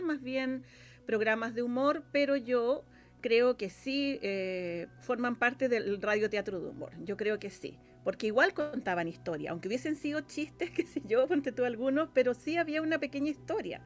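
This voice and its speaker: Chilean lady guest, kinda high voice, chatty, restarts sentences a lot, bit of music. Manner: halting, rephrasing often, seeking agreement. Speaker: guest, radio drama scriptwriter. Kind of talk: casual interview talk.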